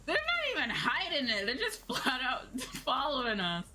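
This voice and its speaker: Animated woman streamer chatting over game music. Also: high-pitched, moderate pace. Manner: playful, gushing, teasing. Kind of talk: casual live gaming commentary.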